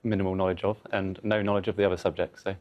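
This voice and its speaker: A man's voice: low-pitched, level tone, racing, lo-fi sound. A man in his thirties, a quiz contestant who thinks aloud hesitantly with plenty of ums. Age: 30s. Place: television studio.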